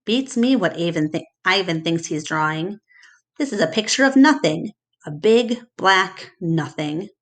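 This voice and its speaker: A woman voicing a gruff, dismissive male animal keeper in a storybook, grumbling as he talks. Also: lowish voice, animated, unhurried. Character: gruff, dismissive man who keeps a gorilla.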